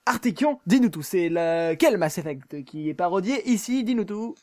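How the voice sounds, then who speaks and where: A presenter with a mid-range voice hands over between segments of a review show. The delivery is relaxed and informal, with the occasional laugh. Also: medium pitch, normal speed. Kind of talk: casual show hosting.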